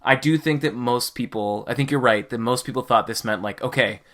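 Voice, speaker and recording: A man's voice: mid-range voice, a little fast, fairly bright tone. A man co-hosting a podcast, chatting casually and earnestly about a game. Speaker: podcast co-host.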